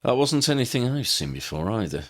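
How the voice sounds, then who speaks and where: A man's voice: mid-range voice, sing-song, a little fast, lightly acted. A man voicing a serious, discreet young male team leader in an audiobook, direct and polite. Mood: thoughtful.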